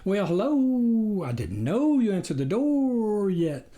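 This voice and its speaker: A narrator voicing a smooth-talking, wily coyote posing as an official, over-polite and wheedling, with a baying, yapping quality. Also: mid-range voice, sing-song, slow, mellow, pitched above the performer's own voice. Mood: apologetic, pleading. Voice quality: baying, yapping, at times near howling. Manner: over-polite, wheedling, indignant, repeats words.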